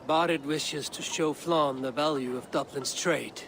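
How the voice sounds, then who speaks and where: Blunt Viking warrior, slightly high voice. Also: male voice, fairly even intonation, measured pace, background noise. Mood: businesslike. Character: shrewd, blunt Viking warrior and loyal kinsman. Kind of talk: dramatic video game cutscene acting. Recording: music under the dialogue.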